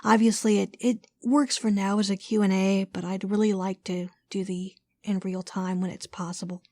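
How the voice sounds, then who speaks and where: A woman's voice: medium pitch, flat delivery, normal speed, mellow, no room sound. A woman hosting a podcast, giving casual announcements and interview questions.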